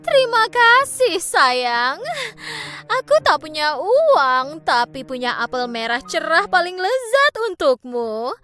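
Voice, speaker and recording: A woman's voice: high-pitched, sing-song, takes it slowly, pushed and bright, heavily acted. Wheedling disguised old crone for a cartoon dub, played by a woman, sweet-talking and sly. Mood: coaxing.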